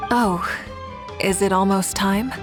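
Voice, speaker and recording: An actress playing a confident, caring female leader who speaks in a calm, reassuring way. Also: mid-range voice, slow, over music, natural voice. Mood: warm.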